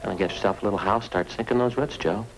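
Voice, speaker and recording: Chatty American cop sidekick played by a man, folksy, low-pitched and quick, old radio drama with faint noise. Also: lightly acted, poor audio. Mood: coaxing, friendly. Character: talkative, homely married police partner, fussing over his friend. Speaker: supporting actor in a police radio drama. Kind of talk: 1950s radio acting, conversational.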